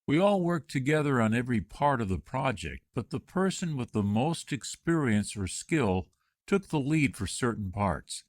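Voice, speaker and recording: A man reads a candidate's answers in a scripted job-interview practice dialogue. His voice rises and falls a lot, and his delivery stays clear and measured. The recording is close and dry. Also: mid-range voice, sing-song, no echo.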